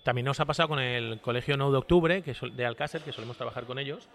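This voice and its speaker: A man's voice: mid-range voice, quick pace, gravelly, a bit bright. A man speaking casually and conversationally as an interview guest.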